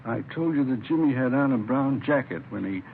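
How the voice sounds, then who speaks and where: A gravelly, plaintive old grandfather, anxious and halting, from a vintage radio play. His voice sits at a middle pitch on a tinny, narrow recording. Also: lively intonation, a little hiss or hum. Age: 60s. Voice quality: creaky, gravelly. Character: worried, ailing 68-year-old grandfather of missing boy. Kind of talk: 1950s radio drama acting.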